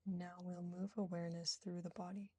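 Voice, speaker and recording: A woman guiding a yoga nidra relaxation in a soothing, gentle, unhurried manner with long pauses. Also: on the low side, level tone, slightly rough. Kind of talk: guided relaxation meditation.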